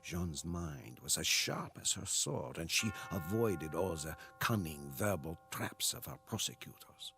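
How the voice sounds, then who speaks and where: Deep gravelly war-weary soldier narrator. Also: male voice, sing-song, full character voice. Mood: mournful, defiant. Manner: solemn, dramatic, measured delivery. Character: weary, devoted French soldier recounting the war. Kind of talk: scripted dramatic narration, like a war journal. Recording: loud music under the voice.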